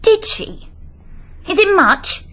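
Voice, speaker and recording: A woman's voice: very high-pitched, sing-song, slow, sharp tone, exaggerated voice. An actress playing a glamorous, flighty, money-minded young woman in a radio drama, with an upper-class English accent and gushing, theatrical delivery. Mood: eager, grasping.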